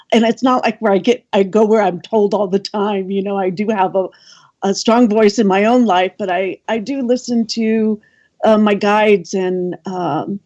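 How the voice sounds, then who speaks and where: A woman guest on a radio panel, talking casually with many pauses and ums. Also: mid-range voice, warm tone, muffled recording. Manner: hesitant, many ums, laughs often.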